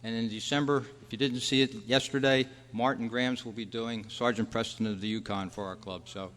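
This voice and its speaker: A man's voice: mid-range voice, steady tone, moderate pace, a bit bright. A man hosting a live quiz and making club announcements to a room of people. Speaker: quiz host at a club meeting. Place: large meeting room at a convention. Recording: echoey hall, long reverb.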